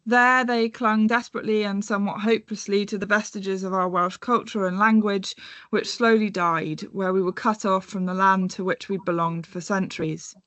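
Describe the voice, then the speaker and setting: A woman in her thirties giving an academic conference presentation in a measured, reflective way, slowing down to pose questions. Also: medium pitch, steady tone, a bit bright, dry recording. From born in England, raised in North America and Europe. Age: 30s.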